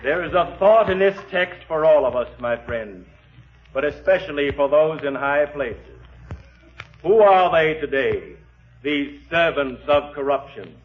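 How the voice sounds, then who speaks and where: Solemn, higher-voiced radio preacher, acted by a man. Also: natural voice. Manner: solemn, measured, oratorical. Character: solemn, earnest male preacher giving Easter sermon. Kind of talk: sermon in 1940s radio drama. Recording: muffled 1940s radio recording, music behind.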